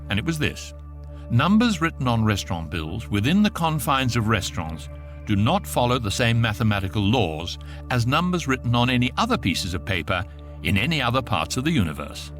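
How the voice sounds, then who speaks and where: An actor playing the dry, knowing narrator of an electronic guidebook in a radio drama, lecturing with a straight face. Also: male voice, mid-range voice, close to an everyday voice. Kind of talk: scripted narration.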